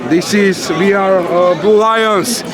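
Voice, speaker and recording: Slow, high-voiced man, halting and giggly. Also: steady tone. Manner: halting, giggly, searching for words. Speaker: football fan being interviewed. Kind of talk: casual street interview. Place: outdoors outside a stadium. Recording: loud outdoor crowd noise.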